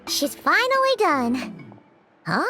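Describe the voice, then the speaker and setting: A woman voicing a small, childlike, excitable fairy companion in a video game, squeaky and exclamatory. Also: takes it slowly, over music, exaggerated voice. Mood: curious, surprised. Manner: expressive, exclamatory. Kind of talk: video game character acting.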